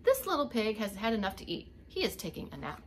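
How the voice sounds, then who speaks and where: A woman's voice: medium pitch, huge pitch swings, quick pace, a little hiss or hum. A middle-aged woman reading a picture book aloud as a preschool teacher, expressively, with little asides to young children. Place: indoors, home living room.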